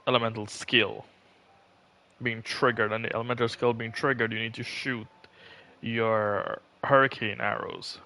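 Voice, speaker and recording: A man's voice: mid-range voice, flat delivery, measured pace, pushed and bright. A man giving casual, rambling livestream commentary, thinking aloud as he plays a game. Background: faint game music.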